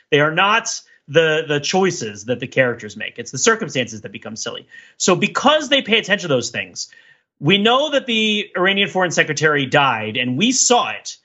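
Animated man podcasting, bright husky voice. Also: mid-range voice, highs cut off. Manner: discursive, animated, emphatic. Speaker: podcast host and TV critic. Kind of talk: casual analytical podcast discussion.

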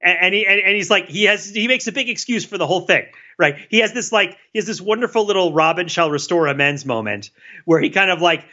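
A man co-hosting a podcast, thinking out loud in casual analytical talk, rambling with restarts and laughs. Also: high-pitched, fast. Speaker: podcast co-host. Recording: dull lo-fi sound.

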